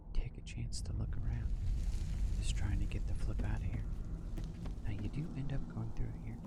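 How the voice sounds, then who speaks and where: A man giving hushed, casual ASMR commentary on a video game, often slipping into a whisper. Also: lowish voice, vocal fry. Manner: hushed, casual, unhurried asides. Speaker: gaming video creator. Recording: loud background noise and ambience.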